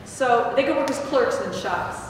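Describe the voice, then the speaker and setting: A woman's voice: a little high, expressive, normal speed. An older woman speaking on a panel and answering audience questions, conversational, with frequent restarts and self-corrections. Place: large echoey hall with stone walls. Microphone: table mic, picked up from a distance. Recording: echoey hall, distant mic, audible noise.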